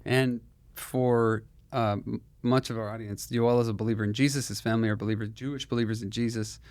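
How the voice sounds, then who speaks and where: A man hosting a conversational interview, asking measured questions and giving short back-channel replies. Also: mid-range voice, fairly even intonation, darkish tone, dry recording. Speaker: interview host. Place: Texas.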